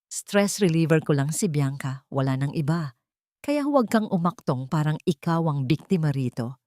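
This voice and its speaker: A woman's voice: low voice, lively intonation, normal speed, warm tone, no room sound, studio quality. A woman voicing a cold, irritated husband who talks down and excuses himself in an audiobook drama. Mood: cold, dismissive. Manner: condescending, as if explaining to a child.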